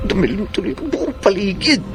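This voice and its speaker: An actor playing a jumpy, blustering criminal on the run in a melodramatic horror radio drama. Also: male voice, high-pitched, big pitch swings, a little fast, over loud music. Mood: nervous bluster. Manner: melodramatic, muttering to himself, crying out. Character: frightened male fugitive criminal, jumpy and blustering.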